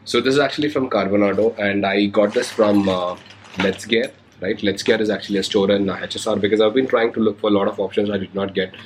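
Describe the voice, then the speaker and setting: A young man with an Indian English accent talks through a casual unboxing, his voice a little worn from a cold. He pauses often with hesitant fillers, and his voice sits on the lower side. A faint hiss runs under the recording. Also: fairly even intonation. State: recovering from a cold. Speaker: motovlogger. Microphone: handheld recorder or camera mic.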